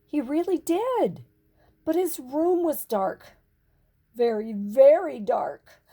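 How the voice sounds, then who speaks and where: Slow, expressive older woman reading a storybook. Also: high voice. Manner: deliberate, dramatic emphasis and pauses. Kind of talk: expressive picture book narration.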